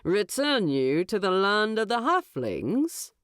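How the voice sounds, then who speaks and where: A woman's voice: mid-range voice, sing-song, slow pace, dry recording, clean studio sound. A woman voicing a vain, haughty male king of giant eagles in a storybook reading, regal, pompous and wry. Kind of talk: audiobook storytelling.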